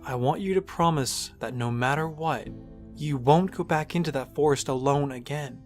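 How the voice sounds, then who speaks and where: Man voicing a gentle protective big-brother pony. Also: on the high side, normal speed, faint music behind, voice pushed up, lightly acted. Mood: tender. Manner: gentle, soothing, quietly firm. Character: caring young stallion, protective big brother. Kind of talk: fanfic audiobook reading.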